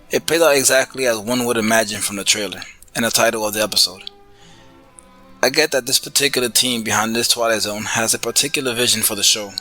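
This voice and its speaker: A man's voice: mid-range voice, pushed and bright, rough recording. A man from the Bronx sending in a prepared spoken review as a podcast listener, articulate and formally worded. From the Bronx, New York, United States.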